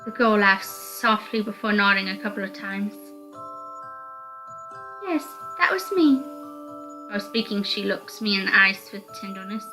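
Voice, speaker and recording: A woman's voice: mid-range voice, poor audio. A woman reading first-person story narration aloud from a visual novel.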